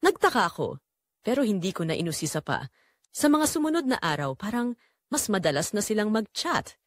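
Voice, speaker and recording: A woman's voice: medium pitch, big pitch swings, brisk, no echo, studio quality. A woman playing a betrayed married daughter, narrating her painful family secret in an emotive, confessional first-person style for an audio drama. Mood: uneasy, suspicious.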